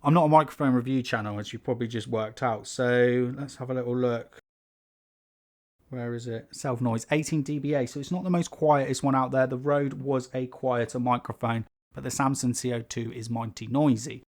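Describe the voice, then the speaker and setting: Man, mid-pitched, sibilant and slightly rough-throated, rambling casual delivery, small home room, overhead condenser mic. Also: steady tone, moderate pace. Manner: rambling, chatty, many fillers and asides. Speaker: YouTube creator. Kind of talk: casual unscripted talk to camera. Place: small carpeted home room with foam panels. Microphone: pencil condenser on a stand above the speaker.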